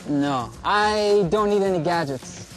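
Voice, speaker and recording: A man's voice: high-pitched, lively intonation, takes it slowly. A man playing a stubborn, laid-back biker in scripted promo dialogue. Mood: dismissive. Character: stubborn, laid-back male biker who scorns gadgets.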